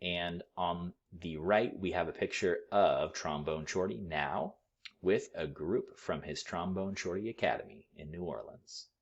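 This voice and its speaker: A man reading a picture book aloud to children in an expressive, teacherly way. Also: sits low, measured pace, lo-fi sound. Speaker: school read-aloud storyteller.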